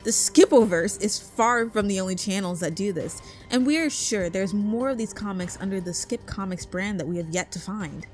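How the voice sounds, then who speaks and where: An outspoken woman narrates a scripted online video essay. Her voice sits at a medium pitch, with music playing behind her. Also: animated, moderate pace, few pauses, a little mellow. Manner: outspoken, swears. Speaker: co-host of a channel. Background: background music.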